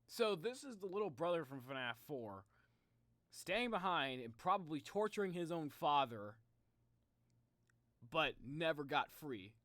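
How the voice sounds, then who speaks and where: A young man streams his reactions in a highish, bright voice. His pitch jumps around a lot as he rambles and thinks aloud in casual, animated commentary. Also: a little slow, no echo. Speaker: live streamer reacting to game videos. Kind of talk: casual livestream commentary and speculation.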